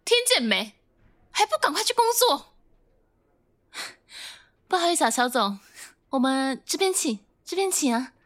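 A woman voicing a bossy female office manager with a high, tense voice that leaps up and down. She scolds staff sharply in an audio drama. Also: very animated, a little slow, pauses often, fairly bright tone, exaggerated voice. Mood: brusque.

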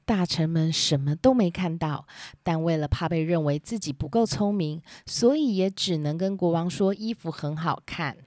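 A woman's voice: fairly low pitch, moderate pace, darkish tone, dry recording, studio quality. A woman narrating a scripted children's story as its storyteller. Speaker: storyteller and host.

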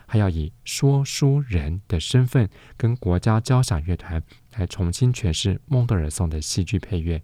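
Male radio host, Taiwanese accent, deep and mellow, measured presenting, dry close recording. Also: no room sound. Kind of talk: interview hosting, measured presenting.

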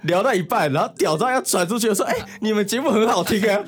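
A man's voice: sits high, expressive, quick pace. A man with a Taiwanese Mandarin accent, bantering casually as a podcast co-host.

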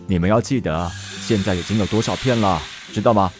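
A man's voice: deep voice, fairly quick, over music, lightly acted. A man with a Taiwanese Mandarin accent playing a stubborn, prickly, easily flustered middle-aged man in an audio drama. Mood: guarded. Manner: tsundere, scolding, flustered.

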